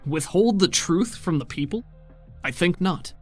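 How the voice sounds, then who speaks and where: A man's voice: high-pitched, expressive, unhurried, darkish tone, soft background music, pitched above the performer's own voice. A man voicing a vain, self-important TV reporter with a grandiose delivery in a tabletop game. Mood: indignant.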